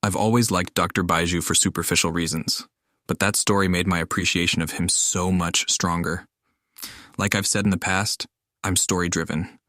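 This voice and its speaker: A man's voice: deep voice, fairly even intonation, moderate pace, sharp tone, no echo, studio quality. A man, a gaming streamer, giving reflective personal commentary on a game he plays.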